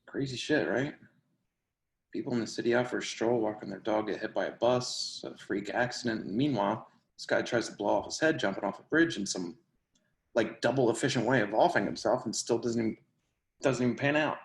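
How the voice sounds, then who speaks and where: A crude, excitable young tenant acted out in a play reading, swearing freely in a middle-pitched voice. The sound is roomy, like a video call from an untreated room. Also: brisk, gravelly, close to an everyday voice. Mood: incredulous.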